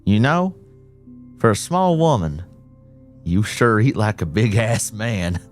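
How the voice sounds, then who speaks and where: A man's voice: lowish voice, big pitch swings, slow pace, soft background music, pitched above the performer's own voice. A man voicing a wry, teasing middle-aged male police officer in an audiobook. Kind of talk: audiobook character voice.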